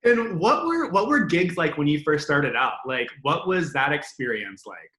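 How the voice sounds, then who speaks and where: A man's voice: on the high side, sing-song, moderate pace, bright, forceful tone, roomy sound. A man hosting an interview, reading a polished intro and asking questions in an upbeat way. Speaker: interview host.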